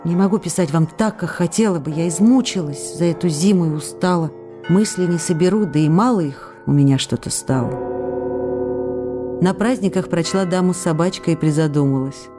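A woman's voice: fairly low pitch, normal speed, lightly acted. A woman playing an affectionate, restless actress who reads her love letters aloud in a coquettish, pleading, emotive way for a radio play. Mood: weary, longing. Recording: radio broadcast with music behind. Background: music.

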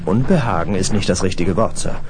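An actor playing an uneasy, sardonic spaceship lieutenant who grumbles wryly in a 1970s radio play. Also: male voice, deep voice, brisk, noisy background, close to an everyday voice. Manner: wry, grumbling.

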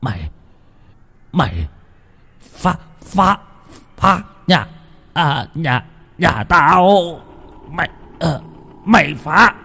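A man's voice: mid-range voice, big pitch swings, a little fast, darkish tone, slightly rough, in a large hall. A man voicing a drunken, hot-tempered middle-aged villager with a coarse, slurred way of talking. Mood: terrified.

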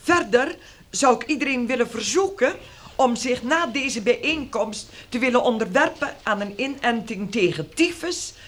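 A dutiful female nurse in a radio play. Her fairly high voice moves animatedly as she gives polite, careful, formal health instructions. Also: animated, normal speed, fairly bright tone. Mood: earnest, dutiful. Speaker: actress in a radio drama. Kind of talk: radio drama acting, public instructions.

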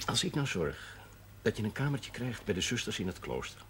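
Casting: a wry, paternal parish priest in television drama, medium pitch, very expressive melody, slightly noisy soundtrack. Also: male voice, brisk. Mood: paternal, concerned. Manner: paternal, gently admonishing. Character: fatherly, wry male village parish priest. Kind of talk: television period drama acting.

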